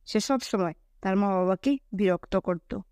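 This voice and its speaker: Lady reading a kids story, mid pitched voice, no echo at all. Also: unhurried, darkish tone, studio quality. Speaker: narrator. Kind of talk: scripted children's story narration.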